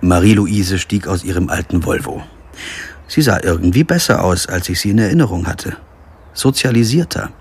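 A man's voice: low voice, normal speed, vocal fry, darkish tone, a little hiss or hum. A man playing a sober, understated lawyer who narrates a radio crime drama in standard German. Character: male lawyer narrating his own crime investigation. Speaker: actor playing narrator and lead. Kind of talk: radio play narration and dialogue. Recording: music behind the voice.

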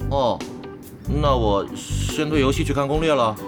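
An actor playing an eager, naive young male gamer in an audio drama, earnest and excitable. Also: mid-range voice, measured pace, over music, natural voice. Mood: obedient, earnest. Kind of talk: Chinese audio drama acting.

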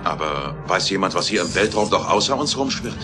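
Very deep-voiced space researcher. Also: male voice, expressive, a little fast, over music. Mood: musing. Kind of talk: radio play acting.

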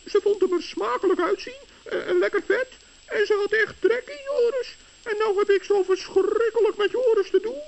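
An actor voicing a small, kind-hearted, anxious gnome in a children's radio play, with a squeaky, stammering delivery. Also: normal speed. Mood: distressed, worried. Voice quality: squeaky, creaky. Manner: stammering, worried. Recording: dull old radio recording.

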